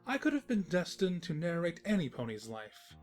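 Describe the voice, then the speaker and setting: A man playing a teasing, sentimental, gentlemanly storybook narrator in a fan fiction audio drama. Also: fairly high pitch, huge pitch swings, normal speed, soft background music. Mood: sincere, courtly.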